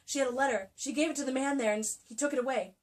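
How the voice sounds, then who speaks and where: A woman's voice: sits high, very fast, pitched above the performer's own voice, close to an everyday voice. A woman playing a proud, defiant and passionate young romance heroine, recorded at a distance from the mic. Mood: earnest.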